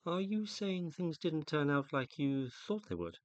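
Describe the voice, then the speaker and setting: Actor as lively, hesitant British suitor. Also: male voice, fairly high pitch, a little slow, no echo, dull audio. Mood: flattering, probing. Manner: hesitant, polite, probing. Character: tentative, flattering, inquisitive male suitor of a widow. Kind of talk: radio play acting.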